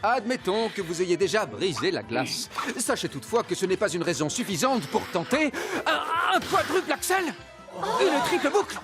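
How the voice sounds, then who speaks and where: A prim and pedantic cartoon narrator, giving formal how-to instructions. The delivery is theatrical and very animated, at a middling pitch, with music playing loudly behind. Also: normal speed. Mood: flustered, amazed. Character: prim, pedantic how-to narrator who gets flustered and amazed. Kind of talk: scripted mock-instructional narration.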